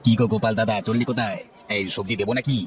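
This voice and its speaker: Low-voiced, eager vegetable hawker acted by a man. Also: steady tone, very fast, some chatter behind, lightly acted. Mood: hawking. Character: chatty male market vegetable hawker, eager and friendly. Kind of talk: cartoon voice acting. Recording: music bed behind.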